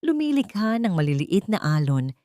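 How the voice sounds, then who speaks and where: A woman's voice: medium pitch, big pitch swings, normal speed, mellow, no echo, studio quality. A woman storyteller reading scripted, dramatic narration of a romance drama.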